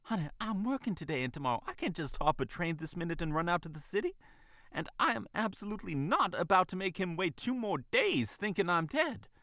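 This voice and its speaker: A man's voice: sits high, sing-song, brisk, pushed and bright, dry recording, studio quality, voice pushed up, exaggerated voice. A man voicing a flustered, emotional older mother fox in a storybook, gushing with folksy endearments. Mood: upset, insistent.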